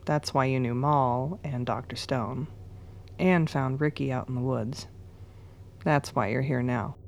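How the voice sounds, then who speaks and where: A woman's voice: rumbling low, fairly even intonation, measured pace, darkish tone, slight background noise. An actress playing a distressed but strong woman in a radio drama. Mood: hurt, subdued.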